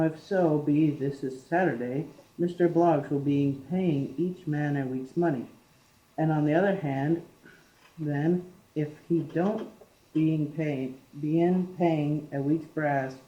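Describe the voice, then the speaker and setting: A cocksure, plain-spoken working man played by a teenage lad in a student radio play. The voice is fairly high and mellow. The amateur recording is muffled and roomy. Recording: muffled, echoey classroom-made amateur recording.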